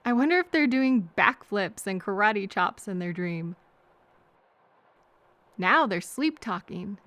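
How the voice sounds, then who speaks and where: A woman playing a kind, anxious, chatty traveler who gently overthinks and thinks aloud in a hesitant, earnest audio roleplay. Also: medium pitch, lively intonation, takes it slowly, no room sound. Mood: gentle, curious.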